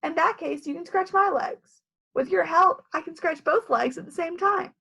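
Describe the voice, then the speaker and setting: A woman's voice: extremely high pitch, normal speed, vocal fry, sharp tone, voice pushed up, exaggerated voice. A woman voicing a matter-of-fact, breezy school child in a storybook.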